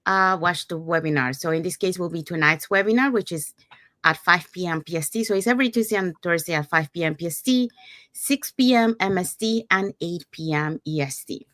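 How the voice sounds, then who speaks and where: Female online host, slightly low voice, casual presenting style. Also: fairly quick, fairly bright tone, no echo, studio quality. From Panama. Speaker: host, digital business owner. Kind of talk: casual live webinar presenting.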